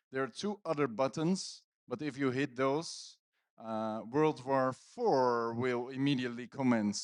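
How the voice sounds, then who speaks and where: A male conference host works the stage in a casual, joking way. He speaks slowly at a middling pitch, with little room echo on the microphone, teasing as he goes. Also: clean studio sound. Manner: joking, teasing banter with audience and guest. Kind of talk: casual live stage hosting. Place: conference stage at live event. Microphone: handheld stage microphone.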